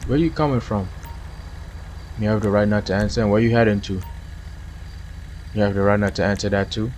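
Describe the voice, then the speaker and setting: A man's voice: lowish voice, darkish tone, a little hiss or hum. A man from Trinidad with a Trinidadian accent, casually commentating a police game stream and chatting with viewers. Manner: casual, chatty, laughs often. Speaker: gaming streamer. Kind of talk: live game commentary with chat.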